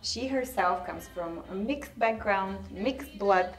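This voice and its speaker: A woman's voice: medium pitch, slow pace. A woman hosting an interview, welcoming her guest and asking short, friendly questions while laughing along. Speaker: host.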